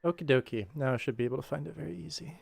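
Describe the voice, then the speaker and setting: A man's voice: fairly high pitch, racing, vocal fry, breathy edge, faint music behind. An American man chatting casually on a livestream as he works, sometimes talking half to himself. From United States. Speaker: livestreamer and animator. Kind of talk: casual livestream chat while working.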